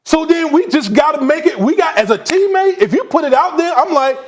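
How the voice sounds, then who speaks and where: Excitable former player, high voice. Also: male voice, a little fast. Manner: excitable, emphatic. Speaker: former player turned analyst. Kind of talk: sports debate.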